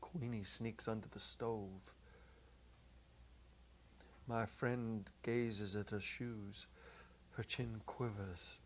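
Middle-aged male storyteller, unhurried and deliberate, dramatic pauses, faint noise on an old tape. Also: lowish voice, steady tone. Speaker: story reader, teacher. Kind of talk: dramatic reading of a literary short story. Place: small indoor set. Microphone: lapel microphone. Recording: noisy old videotape recording.

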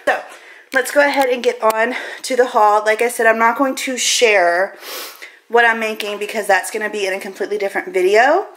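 A woman's voice: fairly high pitch, slight background noise. A woman in her thirties with an American accent, chatting informally and rambling as she vlogs in her kitchen on a handheld camera. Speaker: vlogger. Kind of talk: casual grocery haul vlog chat. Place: home kitchen, indoors. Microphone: camera mic, handheld at arm's length.

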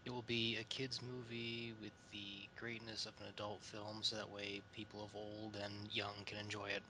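A man's voice: fairly low pitch, monotone, normal speed. A man giving casual comic banter as a podcast panelist. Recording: clear background noise.

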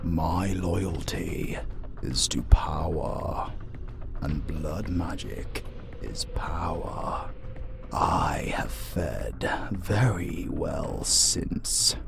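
A man voicing a formal, haughty centuries-old vampire in a dark audio drama, with ornate, deliberate speech. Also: low-pitched, gravelly, whispered, exaggerated voice. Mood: proud.

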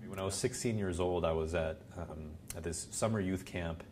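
Deep-voiced Puerto Rican-born professor. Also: male voice, moderate pace, darkish tone, a little hiss or hum. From Puerto Rico. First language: Spanish. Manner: thoughtful, gesturing with both hands while speaking. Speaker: seminary professor. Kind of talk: reflective interview answers. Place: living room by a large window.

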